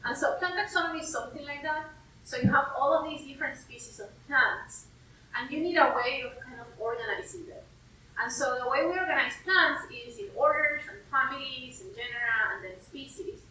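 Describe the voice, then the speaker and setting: In a very reverberant room, a woman from Peru talks a little away from the mic. Her voice is on the high side and clearly bright. She explains her field informally, like a curator walking a visitor through it in simple terms. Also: a little fast. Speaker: university herbarium curator and plant taxonomist. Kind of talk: informal explanatory interview for an online video. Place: indoor herbarium room. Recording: echoey room, distant mic, faint noise.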